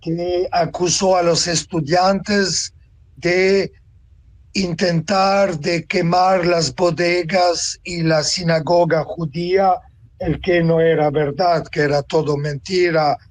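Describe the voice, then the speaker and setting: A man in his seventies from Tunisia, giving a reflective personal account as an interview guest, deliberate and pausing to find words. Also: high-pitched, fairly even intonation. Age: 70s. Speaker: interview guest, author and translator.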